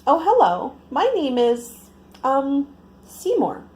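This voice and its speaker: A woman's voice: high-pitched, big pitch swings, slow, a little mellow, voice pushed up, full character voice. A woman voicing a kind, playful, shy and forgetful male porpoise in a storybook, innocent and a little hesitant.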